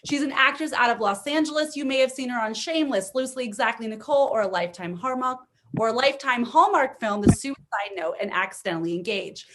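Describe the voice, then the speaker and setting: High-voiced, enthusiastic woman emcee. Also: fast, few pauses, a bit bright. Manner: enthusiastic, informal. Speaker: comedy show host. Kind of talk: upbeat emcee introductions. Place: online video event.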